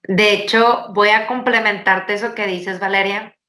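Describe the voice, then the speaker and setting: A woman's voice: medium pitch, measured pace, echoey room. A woman, hosting and telling stories in a casual chat with many fillers. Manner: animated storytelling, many fillers. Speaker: podcast host.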